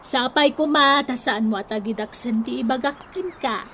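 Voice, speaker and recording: A woman's voice: sits high, moderate pace, a bit bright, a little hiss or hum. An actress playing a long-suffering, nagging older mother and wife in a Filipino radio comedy drama, with a Filipino accent. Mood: pleading, scolding.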